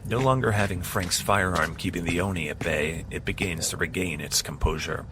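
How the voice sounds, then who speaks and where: Deep-voiced male narrator. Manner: steady, measured storytelling. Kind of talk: scripted second-person narration. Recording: clear background noise.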